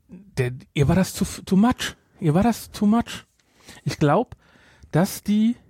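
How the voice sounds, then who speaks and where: A middle-aged man chats as a podcast host. His voice is dark and husky, recorded very dry. He gives his views casually, repeating points for emphasis. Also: on the high side, dry recording.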